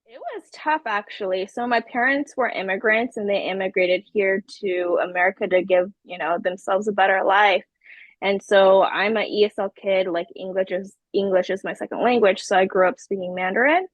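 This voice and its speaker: A woman's voice: medium pitch, moderate pace, no room sound, highs cut off. A woman who is a life coach, talking in a bubbly, chatty way as a podcast guest and laughing often. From San Jose, California. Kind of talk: casual interview chat.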